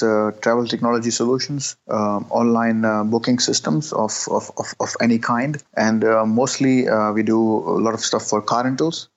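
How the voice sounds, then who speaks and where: A man in his thirties from Pakistan, a guest answering interview questions with many pauses, fillers and restarts. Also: medium pitch. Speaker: guest, entrepreneur. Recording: dull lo-fi sound.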